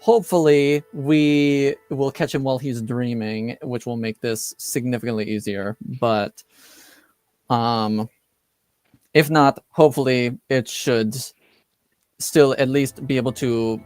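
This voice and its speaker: A man as a calm, slow-spoken mage. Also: mid-range voice, no echo, natural voice. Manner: measured, explanatory, reassuring. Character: calm, assured male spirit-mage explaining his ritual.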